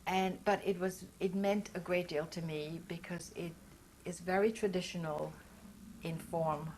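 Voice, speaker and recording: A woman's voice: fairly low pitch, steady tone, measured pace, darkish tone, slight background noise, poor audio. Older German-native woman, reflective and earnest. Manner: reflective, earnest and searching for words. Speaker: interviewee. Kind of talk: oral history interview.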